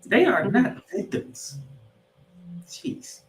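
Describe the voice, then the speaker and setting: A man's voice: high-pitched, huge pitch swings, drawn-out words. A man co-hosting a sports podcast, giving recaps and commentary in an emphatic, opinionated way. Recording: roomy, echoing sound.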